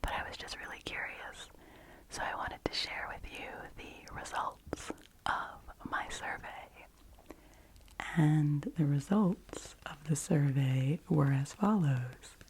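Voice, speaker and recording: A woman hosting an ASMR channel, rambling in a deep, low, soft-spoken voice that keeps dropping into whispers, close to the microphone. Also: slow pace. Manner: alternates soft low speaking with breathy whispers.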